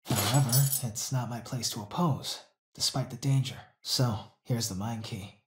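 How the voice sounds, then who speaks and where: A man's voice: medium pitch, steady tone, normal speed, a little hiss or hum, natural voice. Man as solemn game cultist in echoing room. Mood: foreboding. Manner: formal, ominous, reverent. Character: solemn cultist islander, reverent and foreboding. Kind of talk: video game character acting. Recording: roomy echo.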